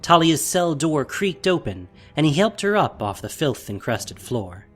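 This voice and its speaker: A man narrating a scripted audio drama in an expressive, theatrical manner. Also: on the high side, measured pace, soft background music.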